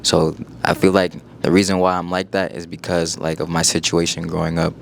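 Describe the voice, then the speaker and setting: A man's voice: sits low, a little fast, vocal fry, a little hiss or hum. A nineteen-year-old man from Iowa, a guest in a casual interview, talking in a laid-back way full of fillers. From United States (Iowa). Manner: laid-back, relaxed, filler-heavy talk. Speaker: guest entrepreneur.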